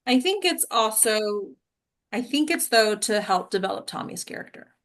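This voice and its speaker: A woman with a fairly high voice hosts an informal discussion as an acting coach. She is encouraging and emphatic, often checking in with a short tag question. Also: expressive, moderate pace, a bit bright. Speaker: host and acting coach leading a script discussion.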